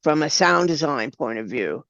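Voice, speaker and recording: An older woman with a low, smooth voice, speaking conversationally as an interview guest about her work. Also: lively intonation, normal speed, a bit bright, no room sound, dull audio. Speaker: guest, writer and director.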